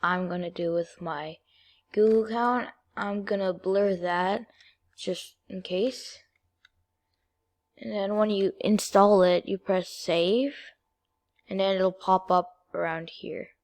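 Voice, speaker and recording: Female-sounding voice doing a casual computer tutorial, kinda halting, step by step, mid pitch. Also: steady tone. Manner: informal, halting step-by-step instructions. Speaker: video creator giving a tutorial.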